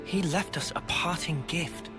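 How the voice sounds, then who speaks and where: A man's voice: on the high side, measured pace, music in the background, lightly acted. A man playing a modest, thoughtful young hero in a video game, with an earnest, questioning manner. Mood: focused. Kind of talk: dramatic video game voice acting.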